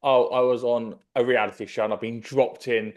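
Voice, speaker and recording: A man's voice: medium pitch, level tone, normal speed, darkish tone. A man, interviewing casually and at length as a podcast host. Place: kitchen at home. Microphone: desk microphone and headphones.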